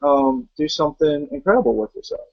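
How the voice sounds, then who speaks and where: A man's voice: on the high side, big pitch swings, a little fast, no echo. A young man giving an earnest motivational talk and driving his points home with rhetorical questions. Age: young adult. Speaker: network marketing guest. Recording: dull muffled sound.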